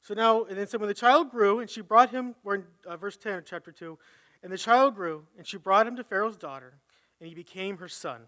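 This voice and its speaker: A man's voice: high-pitched, fairly quick, bright, forceful tone. A man preaching a conversational sermon at a live church service, reading scripture and thinking aloud as he corrects himself.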